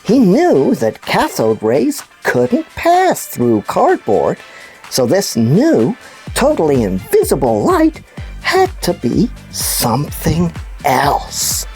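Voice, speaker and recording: A woman voicing an enthusiastic puppet news presenter who explains science to children in an animated, emphatic storytelling way. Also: low voice, slow, soft background music, heavily acted. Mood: intrigued storytelling. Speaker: kids' show host. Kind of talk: scripted children's educational presenting.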